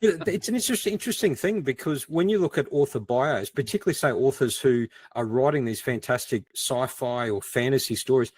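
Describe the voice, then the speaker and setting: A man's voice: mid-range voice, brisk, few pauses, a little mellow, breathy edge, no echo. A middle-aged man with an Australian accent, explaining things conversationally as a podcast guest. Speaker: podcast guest, ex-detective crime writer.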